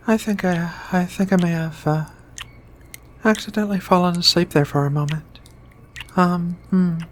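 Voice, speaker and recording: A gentle giant alien woman, played by a female performer, speaks softly in a low register for an ASMR roleplay. She takes her time, tender and bookish, with hesitant pauses and murmured hums. Faint noise sits behind the voice. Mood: sleepy, flustered. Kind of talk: soft-spoken ASMR roleplay.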